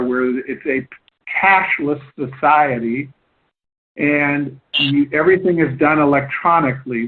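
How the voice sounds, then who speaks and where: A man hosting a conference call, explaining things in a halting way, often repeating and rephrasing himself. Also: a little high. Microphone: phone line. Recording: tinny phone line conference call.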